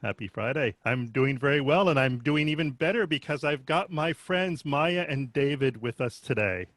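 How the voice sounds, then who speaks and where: A man hosts a relaxed interview, introducing guests and asking courteous questions. His voice sounds dry and close, with no room around it. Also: medium pitch, moderate pace, dry recording. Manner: friendly, polite questions. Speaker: host. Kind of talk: casual interview hosting.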